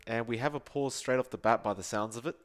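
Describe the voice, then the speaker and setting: A man's voice: mid-range voice, fast talker. An Australian man doing play-by-play esports commentary with chatty, joking banter. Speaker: esports caster.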